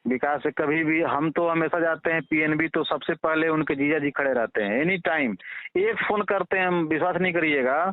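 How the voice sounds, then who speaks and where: A man's voice: fairly high pitch, normal speed, no echo. A man with a Bihari Hindi accent, speaking earnestly and persuasively in a casual, respectful phone conversation. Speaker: father appealing to a reporter. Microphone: telephone. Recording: tinny, narrow-band phone call recording.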